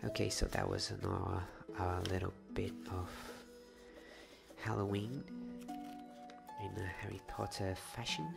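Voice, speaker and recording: A man reading a bedtime story in a soft-spoken, unhurried ASMR style. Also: low-pitched, fairly even intonation, creaky, loud music behind the voice. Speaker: host of an ASMR story channel.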